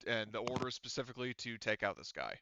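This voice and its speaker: A man's voice: mid-range voice, sing-song, fast talker, sharp tone, a little hiss or hum. A man running a tabletop game as game master, narrating casually and informally with some swearing, on slightly dull audio.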